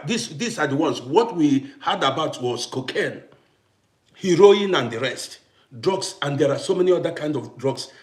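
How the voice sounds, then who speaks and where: Middle-aged Congolese-born man, live-stream host, emphatic, fairly high voice, roomy echo. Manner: emphatic, repetitive, greets viewers by name. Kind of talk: casual live-stream talk.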